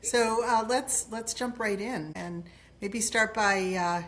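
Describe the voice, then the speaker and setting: A woman's voice: medium pitch, takes it slowly. A woman hosting an interview podcast, asking open questions in an encouraging way and often restarting her phrases. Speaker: podcast host.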